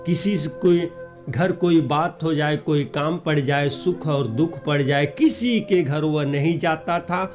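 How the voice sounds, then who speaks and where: A man's voice: a little high, slow, a little mellow. A man narrating a scripted children's moral story as storyteller, with music behind him.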